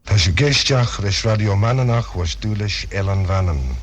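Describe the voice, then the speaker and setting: A man reading a radio news bulletin in a formal, deliberate way, from an archive broadcast. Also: on the low side, lively intonation, a little hiss or hum. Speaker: news reader.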